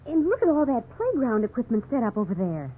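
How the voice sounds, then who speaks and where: A woman's voice: fairly high pitch, huge pitch swings, fast talker. An actress playing an eager, delighted young wife and mother in a 1950s radio drama, gushing with wide-eyed enthusiasm. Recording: tinny, hissy 1950s radio recording.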